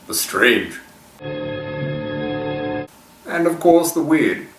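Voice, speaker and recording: A man's voice: a bass voice, big pitch swings, drawn-out words, over music. A man giving a theatrical, mock-ominous scripted introduction as the host of a horror show. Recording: roomy, echoing, distant from mic.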